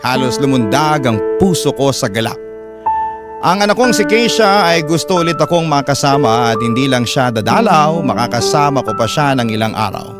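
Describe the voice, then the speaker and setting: Man narrating over loud music. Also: mid-range voice, lively intonation, moderate pace. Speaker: radio story host and narrator. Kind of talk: dramatic first-person letter reading.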